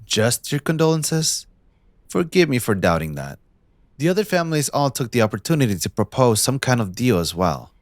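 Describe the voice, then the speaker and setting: A man playing a possessive, manipulative young mafia heir in an audio roleplay, condescending and smoothly taunting with theatrical politeness. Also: medium pitch, sing-song, moderate pace, no room sound, studio quality, natural voice. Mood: cold, accusing. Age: young adult. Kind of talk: scripted ASMR audio roleplay addressed to the listener.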